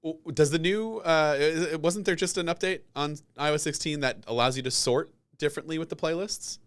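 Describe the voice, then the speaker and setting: A man's voice: a little high, a little fast, a bit bright, clear, resonant tone. A man hosting a podcast, chatting in a casual, rambling, conversational interview style. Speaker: podcast host.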